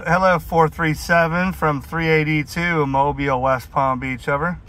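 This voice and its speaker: Male mobile CB caller, bright forceful tone, fairly high pitch, chatty and slangy over the radio. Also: fairly even intonation, moderate pace, a little hiss or hum. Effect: heard over CB radio. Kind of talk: casual CB radio chat. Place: inside a vehicle on a highway.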